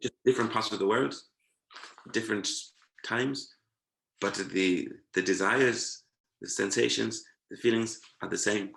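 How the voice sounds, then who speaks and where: A man's voice: fairly low pitch, a little slow, creaky, fairly bright tone, echoey room. A man from Zimbabwe, a guest talking in a reflective conversation, thoughtful and repeating words to savor them. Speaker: guest, performing artist.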